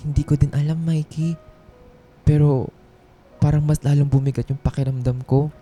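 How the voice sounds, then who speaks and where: A man acts out an aggrieved but earnest male employee in a radio drama. His voice is very mellow and somewhat high for a man, hesitant and polite, with music playing underneath. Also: steady tone, moderate pace, natural voice. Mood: nervous. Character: anxious, aggrieved male office employee standing up for himself. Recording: music behind the voice.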